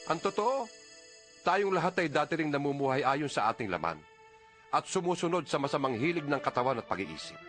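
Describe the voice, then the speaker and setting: A man's voice: a little high, a bit bright. A man voicing a solemn, devout apostle in a dramatized scripture reading, with declamatory delivery. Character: solemn, devout male apostle reading his letter aloud. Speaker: audio Bible narrator. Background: music.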